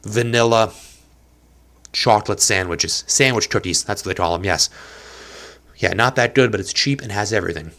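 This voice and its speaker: A man's voice: fairly low pitch, moderate pace, long pauses, sharp tone. A young man hosting a casual solo podcast from a small apartment, rambling and self-deprecating, with a nose stuffed up from allergies. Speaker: podcast host.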